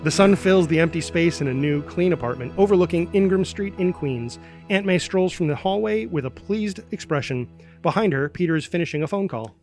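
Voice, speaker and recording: A man's voice: high voice, lively intonation, brisk, a little mellow, faint music behind. A man reading screenplay stage directions as a dramatic scripted narrator.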